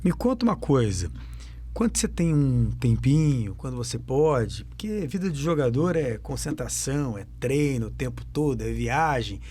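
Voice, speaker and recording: A man's voice: mid-range voice, sing-song, moderate pace, dark tone. A man interviewing a young footballer, asking emphatic questions and repeating them for effect. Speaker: sports radio interviewer.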